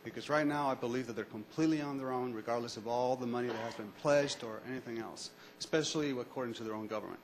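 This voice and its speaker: A man in a lecture audience asking a long, earnest question, pausing on fillers as he goes. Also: medium pitch, a little hiss or hum, low-quality recording.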